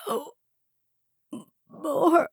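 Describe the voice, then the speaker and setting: A man's voice: extremely high pitch, expressive, takes it slowly, vocal fry, fairly bright tone, no room sound, clean studio sound, voice pushed up, heavily acted. A woman voicing a terrified captive man who stammers and pleads. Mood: panicked. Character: terrified captive young man blurting secrets.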